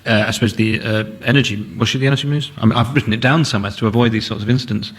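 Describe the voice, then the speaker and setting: A man's voice: on the low side, fairly even intonation, rapid-fire, very noisy. A man with a posh English accent hosting a comedy panel show with wry, polite sarcasm. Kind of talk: comic panel show hosting, part scripted.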